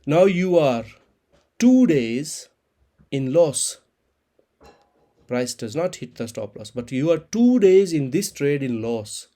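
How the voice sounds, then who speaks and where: A man in his thirties, an Urdu speaker, explaining a trading chart in halting with many restarts. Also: on the high side, very animated, dark tone. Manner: halting non-native English, frequent restarts and repeated words. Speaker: crypto trading channel host.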